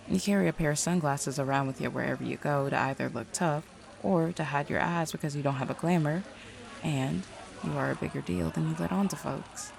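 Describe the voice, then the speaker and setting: A woman's voice: sits low, gravelly, a little mellow. A woman playing a confident, shrewd mayor and seer in an audio roleplay, with a direct, knowing, folksy manner.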